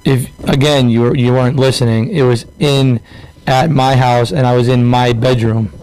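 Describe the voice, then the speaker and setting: A man's voice: medium pitch, steady tone, normal speed, darkish tone, slight background noise, low-quality recording. A man giving a personal account as a radio show guest, earnest, defensive and a bit hesitant. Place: indoor room around a table.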